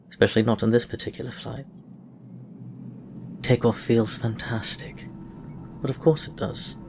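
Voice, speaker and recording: A man with a fairly low voice reads fiction aloud in a scripted narrative style. He sounds like an audiobook reader, and there is clear noise in the recording behind him.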